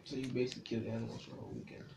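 A man's voice: medium pitch, little pitch movement, racing, gravelly, noisy background. A young man co-hosting a casual podcast, blunt and swearing a lot, reacting with disgust. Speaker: podcast co-host. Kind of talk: casual chat. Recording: loud chatter in the background.

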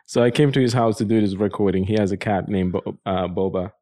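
A man's voice: on the low side, steady tone, quick pace, dark tone. A man hosting a podcast from a guest's home, loose and friendly, repeating words and giving short encouraging replies. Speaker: podcast host. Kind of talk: casual interview chat.

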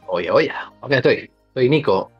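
A man's voice: mid-range voice, lively intonation, moderate pace, slightly rough, dull audio, faint music behind. A young man with an Argentine accent, a kanji teacher chatting playfully. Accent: Argentine Spanish. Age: young adult. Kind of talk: casual group chat.

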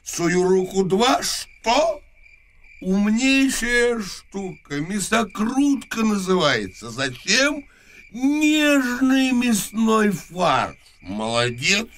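Hoarse drunken old man, swooping wildly in pitch. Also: sing-song, fairly bright tone, exaggerated voice. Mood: mocking, excited. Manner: slurred, halting drunken rambling with muttered questions and outbursts. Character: drunken, bitter old inventor priest, rambling and self-accusing. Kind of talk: Russian radio drama acting.

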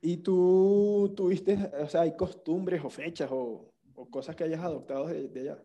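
A young Venezuelan man with a Venezuelan accent, chatting casually about life abroad in a relaxed, slangy way. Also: on the high side, measured pace, lo-fi sound. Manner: relaxed storytelling with slang and restarts. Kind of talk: casual chat.

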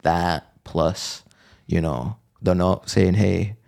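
A man in his thirties with a low voice, co-hosting a casual podcast and trading dry, crude jokes. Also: takes it slowly, vocal fry, a little mellow. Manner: dry, jokey, crude humor. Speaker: podcast co-host. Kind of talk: casual chat.

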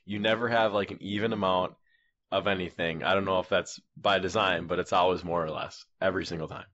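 A man's voice: deep voice, steady tone, fairly quick, fairly bright tone, a little hiss or hum. A man co-hosting a podcast, telling rambling everyday stories in casual chat. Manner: rambling storyteller, acts out dialogue. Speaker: podcast co-host. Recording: dull lo-fi sound.

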